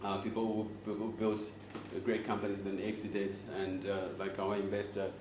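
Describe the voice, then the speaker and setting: Zambian guy talking to a live crowd, lowish voice, jokes a lot, echoey room with noise behind. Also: monotone, normal speed. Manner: joking and engaging, quizzes the crowd. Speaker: host and speaker. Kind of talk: live talk to an audience. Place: event room with audience.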